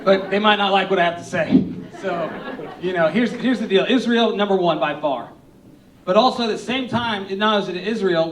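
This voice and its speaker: Male candidate, high, punchy, heard from a distance. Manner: confident, punchy. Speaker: congressional candidate. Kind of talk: candidate forum answer. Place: event hall. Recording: echoey hall from far off.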